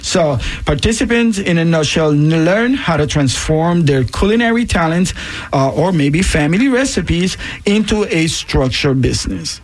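A woman's voice: deep voice, animated, normal speed, low-quality recording. A woman with a Puerto Rican accent, a guest on a radio panel, explains a training program earnestly, with many fillers along the way.

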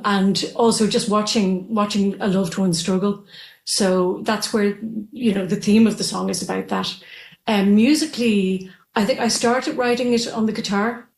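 Irish female musician, 40s, easygoing with lots of fillers, roomy remote call sound. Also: medium pitch. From Ireland. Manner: relaxed, laughing lightly, with many fillers. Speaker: musician guest. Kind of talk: remote interview. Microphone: video call. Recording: echoey room over video call.

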